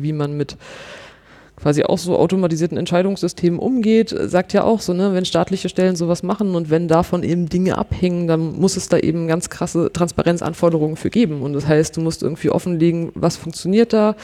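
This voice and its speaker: A man's voice: on the high side, warm tone. A man, an investigative journalist, talking casually in an interview with lots of fillers and a wry tone. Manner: chatty, many fillers like irgendwie and so, wry.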